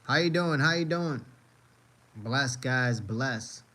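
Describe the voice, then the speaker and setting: Male streamer from the US, casual running chat, rambling and repetitive, middle pitch. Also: takes it slowly, sharp tone. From United States. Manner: rambling, repeats phrases, many fillers. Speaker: live streamer. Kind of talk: casual live-stream chat reacting to videos.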